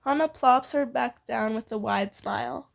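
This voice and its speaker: A woman's voice: on the high side, normal speed, vocal fry. A young woman reading fan fiction aloud casually, giggly and often breaking off into banter. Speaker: amateur fan fiction reader and co-host.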